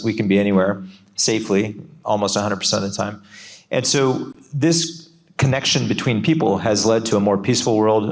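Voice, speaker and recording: Low-voiced man moderating a panel. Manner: relaxed, conversational, with frequent fillers.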